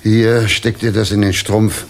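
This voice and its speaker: An actor playing a world-weary, hard-drinking fake hotel manager in a radio play, dry and sardonic. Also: male voice, lowish voice, flat delivery, measured pace. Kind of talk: German radio play acting. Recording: studio radio play with music behind.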